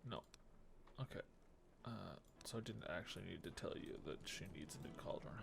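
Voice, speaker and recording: A man's voice: low voice, animated, moderate pace, vocal fry, noisy background. A man doing casual let's play commentary as a streamer, thinking aloud in an offhand mutter. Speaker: video game streamer. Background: game music.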